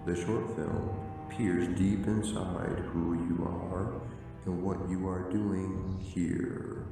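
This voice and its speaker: Man acting a slow, gravelly, echoing rebel mentor. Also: low voice, flat delivery, full character voice. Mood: solemn, persuasive. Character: grave, theatrical male mentor and rebel leader. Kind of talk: dramatic radio play acting. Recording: echoey, distant, music behind.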